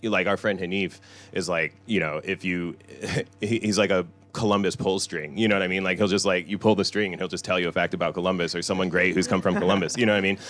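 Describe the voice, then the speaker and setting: Very deep-voiced man chatting candidly. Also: steady tone, quick pace, few pauses, fairly bright tone, soft background music. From Iran. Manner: loose and rambling, peppers speech with "right?" and "you know". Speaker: author at a book talk. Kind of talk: candid conversational talk. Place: bookstore event room.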